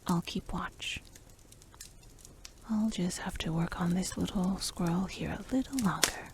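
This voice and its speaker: A woman's voice: lowish voice, a little slow, noisy background. A woman playing a playful, cool-headed rogue in a fantasy roleplay ASMR, speaking in a teasing, intimate, half-whispered way. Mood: teasing, soft.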